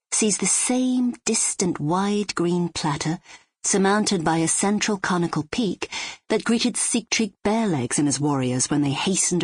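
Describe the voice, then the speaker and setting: Low-pitched Scottish woman narrating, close and dry. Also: husky, no room sound. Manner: measured, descriptive reading. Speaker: audiobook narrator. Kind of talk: scripted literary narration.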